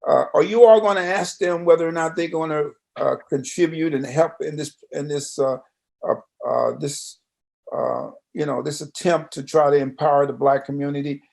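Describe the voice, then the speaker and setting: A man's voice: on the high side, steady tone, normal speed, gravelly, darkish tone. An American man hosting a conversational radio interview, with a folksy, roundabout, questioning way of talking.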